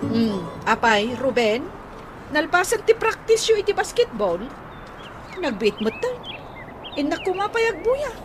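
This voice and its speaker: High-voiced, nagging big sister. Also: female voice, sing-song, a little slow, long pauses, over music. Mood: questioning. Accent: Ilocano. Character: older sister, nagging but advising, practical. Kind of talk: Ilocano radio comedy drama acting.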